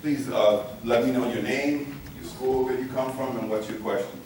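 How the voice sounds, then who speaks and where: A man's voice: mid-range voice, fairly even intonation, normal speed, darkish tone. Male moderator, informal and chatty, hesitant phrasing, distant mic in a heavily reverberant, noisy room. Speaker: event host, moderator. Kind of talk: informal live introduction and moderating. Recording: echoey room from far off, clear noise.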